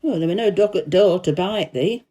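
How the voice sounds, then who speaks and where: A woman voicing a surly but fond old gardener in a storybook, with a rough voice and Yorkshire dialect. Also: fairly low pitch, normal speed, dark tone, lightly acted. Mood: crusty. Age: older. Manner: crusty, rustic dialect speech. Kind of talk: storybook character reading.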